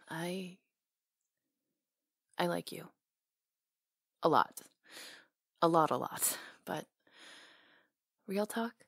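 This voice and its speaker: A woman acting out a flirty, tender small-town salon owner in an ASMR romance. Her voice is soft and breathy with a lot of fry, recorded very close and dry. She teases and hesitates as she talks intimately to someone she adores. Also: sits low, no echo, full character voice. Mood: tender, sincere. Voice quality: soft, breathy, sometimes whispery. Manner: teasing, hesitant pauses, intimate.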